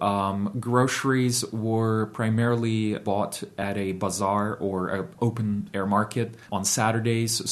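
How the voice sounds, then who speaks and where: A man in his thirties, from Ukraine, with a fairly low voice. He talks in an interview with a steady, reflective manner and fills his pauses with ums and uhs. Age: 30s. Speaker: guest. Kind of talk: interview storytelling.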